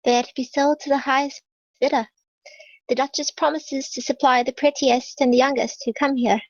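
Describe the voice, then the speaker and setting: A woman's voice: high voice, steady tone, normal speed, no room sound, muffled recording. A woman at a script read playing an elusive, dreamy woman in her late twenties, evasive and coaxing. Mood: grave. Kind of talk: screenplay table read acting.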